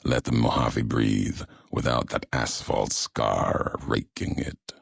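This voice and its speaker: Grim video game wasteland courier: bottomless gravel voice, slow, brooding, ominous monologues in clipped phrases. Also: male voice, flat delivery, very echoey, exaggerated voice. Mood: somber, bitter. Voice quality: gravelly, very deep, breathy. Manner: terse, clipped fragments, deliberate and ominous.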